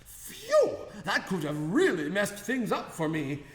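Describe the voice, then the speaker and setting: An actor playing an excitable, bumbling, self-important old inventor in a radio play, theatrical and flustered. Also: male voice, high-pitched, slow pace, full character voice. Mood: flustered, apologetic. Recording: roomy echoing hall sound, music behind.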